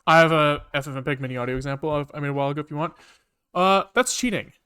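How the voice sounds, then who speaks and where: A man casually live-coding on a stream, thinking aloud in halting, muttered bits as he works. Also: on the high side, bright, forceful tone, clear, resonant tone. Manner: halting, muttering to himself while working. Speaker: programming livestreamer.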